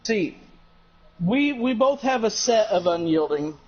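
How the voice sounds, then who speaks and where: High-voiced Southern man rambling in game chat. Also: animated, a little slow, breathy edge. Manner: rambling, many restarts and fillers. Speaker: gaming stream host. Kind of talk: casual gaming chat. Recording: dull lo-fi voice chat.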